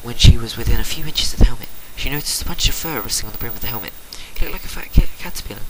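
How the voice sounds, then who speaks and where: A man reads fiction aloud in a mid-pitched voice, narrating theatrically. His delivery is an amateur one with stumbles. The recording has a faint layer of noise and clicks. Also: lively intonation, rapid-fire, rough recording. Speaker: reader of fan fiction. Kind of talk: dramatic reading of a story.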